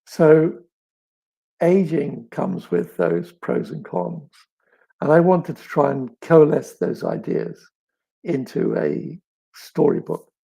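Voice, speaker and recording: A middle-aged man with a crackly, raspy voice, as if with a frog in his throat, chatting reflectively as an author and telling stories with thoughtful pauses and emphasis. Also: medium pitch, sing-song, mellow. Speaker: author answering audience questions. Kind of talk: reflective live chat, storytelling.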